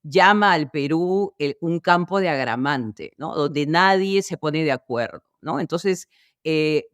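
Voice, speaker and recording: A woman's voice: sits low, animated, takes it slowly, clear, resonant tone, no echo, clean studio sound. A woman introducing a history podcast, explaining things in a somewhat hesitant way.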